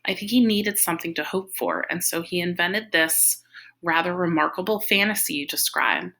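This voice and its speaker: A woman reading the part of a worried, gentle female doctor in a novel, consoling and candid. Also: on the low side, normal speed. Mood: gentle, frank.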